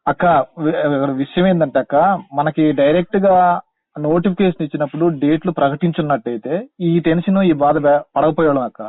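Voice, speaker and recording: A man's voice: fairly high pitch, moderate pace. A young man with a Telangana Telugu accent making a respectful, insistent, pleading appeal over a tinny phone line. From Khammam district, Telangana, India. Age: young adult. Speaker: caller, teacher-exam candidate.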